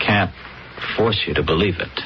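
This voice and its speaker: A man's voice: low-pitched, normal speed, natural voice. An actor playing a hesitant, nervous young man caught in a scheme, in an old radio drama. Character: young man, ex-lifeguard, uneasy fake fiancé. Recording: dull old radio recording, hiss.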